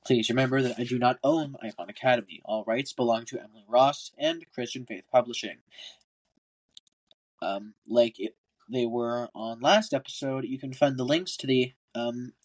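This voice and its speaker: A man's voice: mid-range voice, moderate pace, poor audio. A man with a stuffy, congested nose narrates an amateur audiobook as a podcast host, now and then stumbling and rereading. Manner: stumbles over words and rereads lines. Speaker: podcast host reading a book aloud.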